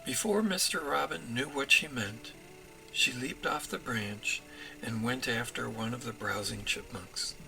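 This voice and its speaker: Male children's story narrator, unhurried, expressive, gravelly creak, soft background music. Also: medium pitch, poor audio. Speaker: author reading own storybook.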